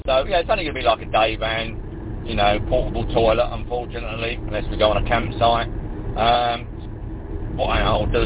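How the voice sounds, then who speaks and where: A man on a two-way radio, chatting casually in a rambling, hesitant way with many fillers. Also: medium pitch. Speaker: radio amateur. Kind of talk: casual chat over the air. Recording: tinny radio channel with noise.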